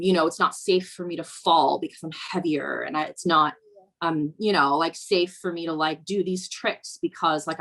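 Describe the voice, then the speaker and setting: Casting: young woman, low voice with a gravelly edge, thoughtful, filler-heavy. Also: a bit bright. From Virginia, USA. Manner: reflective, with many fillers like "um" and "you know". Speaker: snowboarder interviewee. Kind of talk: documentary interview.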